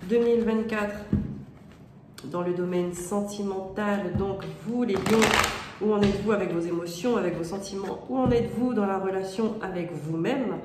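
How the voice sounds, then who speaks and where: A woman's voice: mid-range voice, moderate pace. A woman with a cold and a stuffy nose, giving a casual, hesitant tarot reading full of fillers, often checking "d'accord?". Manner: hesitant, many fillers and rephrasings. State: has a cold. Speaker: tarot card reader. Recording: roomy echo with faint room noise.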